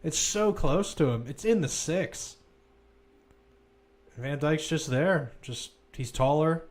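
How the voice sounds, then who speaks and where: A man's voice: on the high side, animated, takes it slowly. A man commentating live on a football match, mixing play-by-play calls with informal, conversational analysis.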